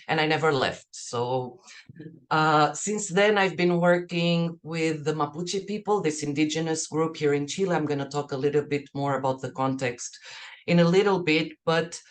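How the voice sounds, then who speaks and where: Portuguese woman presenting academic research. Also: low-pitched. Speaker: academic researcher, keynote speaker. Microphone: video call.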